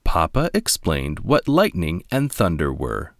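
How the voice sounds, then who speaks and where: Deep-voiced man reading a children's story. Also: wildly swooping pitch, measured pace, dry recording, clean studio sound. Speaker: storybook reader. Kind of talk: read-aloud children's story narration.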